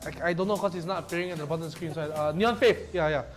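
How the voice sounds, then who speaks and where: A man's voice: fairly high pitch, fast talker, soft background music. A man casting an esports tournament stream, joking and mock-complaining with his co-caster. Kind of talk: livestream casting banter.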